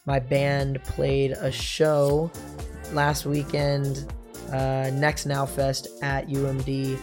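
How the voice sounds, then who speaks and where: A young American man hosting a podcast and interviewing in a casual way, his voice a little hoarse. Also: mid-range voice, monotone, drawn-out words, music in the background. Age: young adult. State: slightly hoarse. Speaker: podcast and college radio host. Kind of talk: casual show presenting and interviewing.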